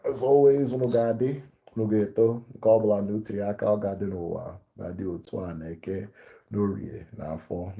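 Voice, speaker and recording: A man with an American accent, hosting a casual talk to his viewers in a relaxed, rambling way with many fillers. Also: fairly low pitch, creaky. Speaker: host. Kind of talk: casual video chat to viewers.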